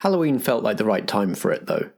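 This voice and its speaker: A man's voice: fairly low pitch, sing-song, fast, gravelly. A man, a game master and compere speaking in a chatty, informal hosting style.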